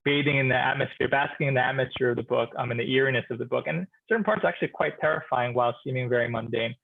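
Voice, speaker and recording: A man speaking as a literature professor in a relaxed expert discussion, thoughtful and hesitant, with many restarts and filler sounds. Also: medium pitch, racing, gravelly, slightly rough, narrow, tinny audio.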